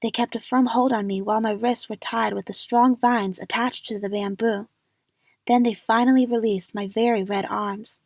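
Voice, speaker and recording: A woman's voice: a little high, fairly even intonation, normal speed, a little mellow, no echo. A woman narrating a first-person story dramatically for an amateur audio drama.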